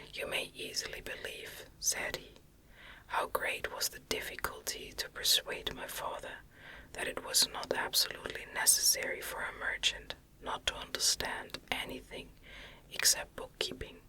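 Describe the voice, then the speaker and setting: Female ASMR audiobook narrator: whispers throughout, slow and careful phrasing, close on the mic. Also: poor audio. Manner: soft, slow, deliberate delivery with careful phrasing. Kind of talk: ASMR whispered reading of a classic novel.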